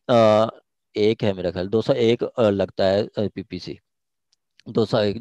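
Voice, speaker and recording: A man's voice: lowish voice, a little mellow, no room sound. A man with a Pakistani accent giving an informal law lecture, explaining step by step, repeating phrases and often checking that he is understood. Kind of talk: informal lecture explaining legal articles with examples.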